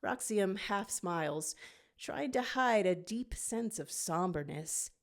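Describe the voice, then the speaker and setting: A woman reads scripted fiction aloud as narrator. Her pitch is mid-range and moves widely, giving the storytelling an expressive, animated feel. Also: slow.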